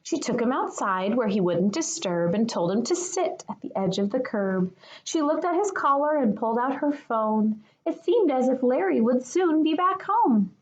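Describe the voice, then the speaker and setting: Woman, kindergarten teacher, slightly high voice, lively intonation, children's read-aloud, expressive. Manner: expressive, addresses young children as friends.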